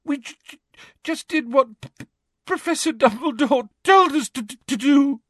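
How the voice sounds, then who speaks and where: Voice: medium pitch, animated, a little slow, sharp tone, dry recording, studio quality, pitched above the performer's own voice. A performer voicing a distressed teenage schoolgirl in an audiobook, tearful and stammering but defiant. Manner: sobbing, stammering, gasping delivery.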